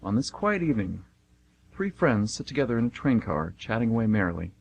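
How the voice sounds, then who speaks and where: Male announcer-narrator, slightly deep, scripted radio drama links, faint background noise. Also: big pitch swings, fairly quick, creaky, dull audio.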